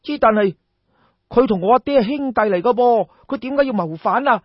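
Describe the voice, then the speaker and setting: Man voicing an anxious, high-pitched young Cantonese nobleman. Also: animated, normal speed, no room sound, highs cut off. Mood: puzzled. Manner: eager, questioning, startled. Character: anxious young nobleman, naive, polite, easily shocked.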